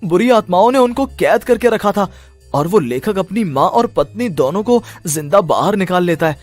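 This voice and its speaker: A man's voice: sits high, lively intonation, moderate pace, faint music behind. A man playing a panicky, haunted male writer who talks in agitated, repetitive disbelief in a horror cartoon story. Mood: bewildered. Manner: agitated, repeats himself in disbelief.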